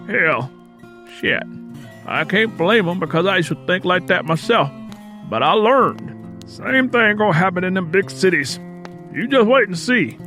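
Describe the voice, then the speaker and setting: Man voicing a sharp, high-pitched, stubborn Southern elder. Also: full character voice. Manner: emphatic folksy rural Southern dialect, lecturing. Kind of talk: acted audiobook character dialogue. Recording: music playing under the voice.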